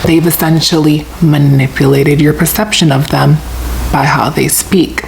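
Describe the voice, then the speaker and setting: A woman in her twenties from New York giving blunt, slangy advice in a deliberately lowered voice. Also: fairly even intonation, creaky, darkish tone, a little hiss or hum, rough recording. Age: 20s. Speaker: self-help talker. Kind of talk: casual advice monologue.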